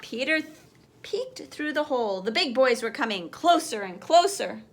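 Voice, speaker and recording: A woman's voice: high-pitched, animated, moderate pace. A woman teacher reading a children's picture book aloud, expressively, as if putting questions to young listeners.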